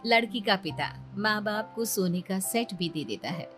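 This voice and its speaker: A woman's voice: mid-range voice, lively intonation, unhurried, music in the background. A woman reading scripted story narration as a storyteller.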